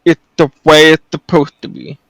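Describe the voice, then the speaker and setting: A man's voice: a little high, flat delivery, normal speed, a bit bright, no echo. A man co-hosting a reaction video, explaining and correcting game lore in a matter-of-fact way. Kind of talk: casual commentary.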